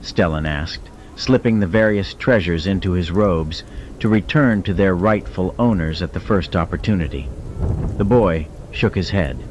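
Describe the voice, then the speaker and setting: A man's voice: sits low, normal speed, darkish tone, lo-fi sound, a little hiss or hum. A man reading scripted audiobook fiction as a dramatic narrator.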